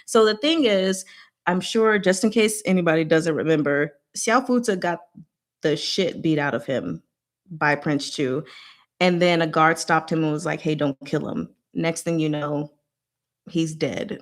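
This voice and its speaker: A woman's voice: deep voice, a little slow. A woman hosting an informal book discussion, talking in a casual, blunt, slangy way and often interrupting herself to address her listeners. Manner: scattered, self-interrupting, talks to herself and audience. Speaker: host of a book discussion session. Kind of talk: informal live book discussion, recapping plot.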